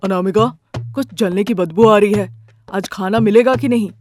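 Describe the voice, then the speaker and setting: A woman's voice: medium pitch, moderate pace, darkish tone, a little hiss or hum, natural voice. A woman voicing an irritated husband in a cartoon family story, calling out impatiently. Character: irritated husband searching for his missing wife. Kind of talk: animated moral-story character voice.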